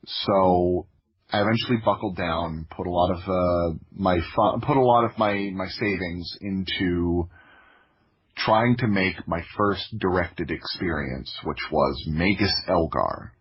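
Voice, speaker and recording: Man, American accent, lowish voice, casual storyteller, self-interrupting, podcast guest, slightly muffled lo-fi sound. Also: slow, slightly rough. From Florida, United States. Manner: anecdotal, self-interrupting with frequent likes. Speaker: podcast guest, audio drama creator.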